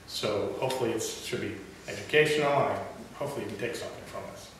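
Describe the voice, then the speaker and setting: A man's voice: fairly low pitch, fast talker, a bit bright. A young man giving a prepared speech to a club audience, with occasional stutters and restarts. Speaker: club speaker. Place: meeting room. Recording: echoey room from far off, hiss.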